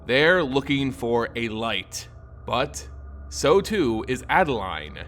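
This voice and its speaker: Expressive male fantasy story narrator. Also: mid-range voice, slight background noise. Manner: solemn, measured storytelling. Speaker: lore narrator. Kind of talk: dramatic scripted story narration.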